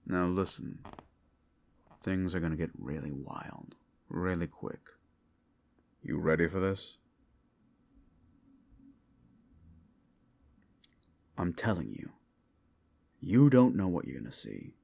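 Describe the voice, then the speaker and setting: A man voicing a gruff, seasoned demon monster hunter in an action-horror audio roleplay, with a gravelly voice and a wry, laconic, protective manner. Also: deep voice, big pitch swings, a little slow, long pauses, a little mellow, full character voice. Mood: low, wary.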